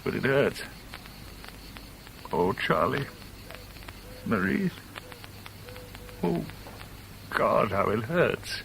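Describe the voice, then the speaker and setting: A jilted middle-aged Englishman in a vintage radio play, articulate and self-mocking. His British voice moves widely in pitch, wounded and theatrical, over a faint hiss of old broadcast tape. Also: big pitch swings, drawn-out words, gravelly, pauses often, a bit bright, heavily acted, rough recording. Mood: anguished. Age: 40s. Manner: articulate, wry, theatrical, rhetorical. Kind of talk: 1970s British radio drama acting.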